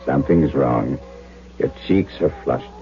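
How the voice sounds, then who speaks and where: An actor playing an earnest young German doctor in a 1970s radio drama. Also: male voice, a bass voice, measured pace, creaky, full character voice. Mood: concerned. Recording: thin phone-like vintage radio recording, faint music.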